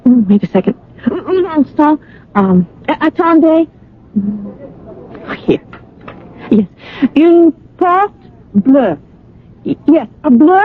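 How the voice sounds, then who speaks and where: A woman's voice: high voice, very animated, takes it slowly, pauses often, full character voice. An actress playing a lovelorn, anxious young woman in a radio drama, earnest and wistful. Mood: pleading, agitated. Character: lovelorn, anxious young woman adrift abroad, narrating her story. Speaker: lead actress and narrator. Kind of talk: radio drama acting and narration. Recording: narrow-band old radio broadcast, music.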